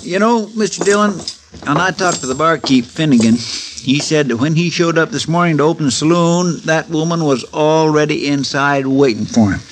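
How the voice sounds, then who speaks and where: An actor playing an earnest, homespun sidekick to a frontier marshal in a 1950s radio drama, talking in a plain drawl. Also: male voice, a little high, normal speed, close to an everyday voice, poor audio. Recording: hissy old radio broadcast.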